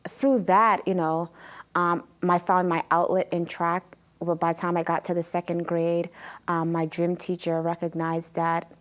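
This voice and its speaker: A woman in her thirties, originally from Jamaica, telling her life story as an interview guest with frequent pauses and fillers. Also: lowish voice, little pitch movement. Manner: many ums and pauses, earnest retelling.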